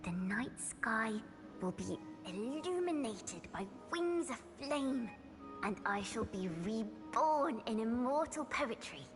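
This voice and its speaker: A woman playing an aloof, solemn young poet in a game, speaking softly in measured, lyrical phrases. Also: fairly high pitch, expressive, music in the background. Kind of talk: dramatic game voice acting, poetry recitation.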